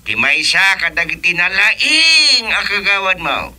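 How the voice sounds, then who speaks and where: Male actor as indignant village chairman, Ilocano accent, high piercing bright voice, insistent, radio comedy. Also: animated, unhurried, full character voice. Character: aggrieved male barangay chairman, sarcastic and complaining.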